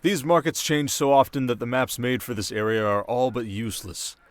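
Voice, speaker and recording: A man playing a young, sharp desert king in an intimate ASMR roleplay, teasing his new wife with measured, quietly commanding speech. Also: medium pitch, steady tone, no echo, close to an everyday voice. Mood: playful, brisk.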